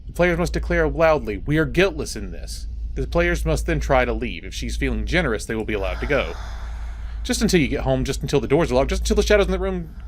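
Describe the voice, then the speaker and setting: Man, horror storytime host, very animated pitch, informal off-script manner, audible background noise. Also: quick pace. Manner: informal, sweary reactions breaking into the reading. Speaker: host reading horror stories aloud. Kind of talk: horror story read aloud with casual off-script asides.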